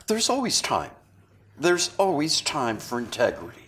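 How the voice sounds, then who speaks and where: A man's voice: medium pitch, huge pitch swings, fairly bright tone. Man reading as a remorseful, earnest man, noisy recording. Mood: earnest, pondering. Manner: halting, confessional, earnest. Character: remorseful, earnest, philosophizing man named Frank. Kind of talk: read-through of a stage drama script. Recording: clearly noisy room recording.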